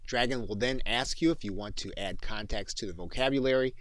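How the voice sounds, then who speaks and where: A man narrating a step-by-step software tutorial in a methodical, instructional manner. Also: medium pitch, fairly quick, no echo.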